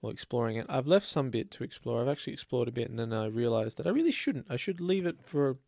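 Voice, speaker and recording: A man's voice: mid-range voice, animated, fast talker, creaky, darkish tone, dry recording, clean studio sound. A man with a slight cold, chatting casually and rambling as he plays a building game. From Australia. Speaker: let's play host. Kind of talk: casual gameplay commentary.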